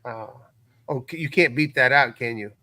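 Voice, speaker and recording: A man as a guest in a casual live recap chat, thinking aloud in a halting way and repeating words. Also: mid-range voice, pushed and bright, dry recording.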